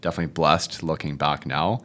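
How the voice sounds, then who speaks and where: Young male guest, Cantonese first language, extremely low voice, loose and chatty delivery. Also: a little fast, a little hiss or hum. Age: 20s. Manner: relaxed, rambling, fond of the tag "if you will, right?". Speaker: podcast guest, realtor. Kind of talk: casual interview chat.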